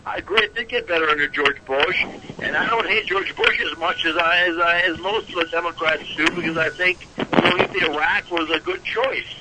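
A man's voice: a little high, fairly quick, heavy background noise. A man on a political debate panel, arguing defensively and repeating himself. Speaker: panel guest.

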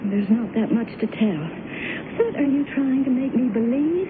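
An actress playing an insistent, hurt wife, pointed and sardonic, in a radio drama. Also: female voice, a little high, lively intonation, moderate pace, close to an everyday voice. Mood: indignant, firm. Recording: noisy, tinny old radio broadcast recording.

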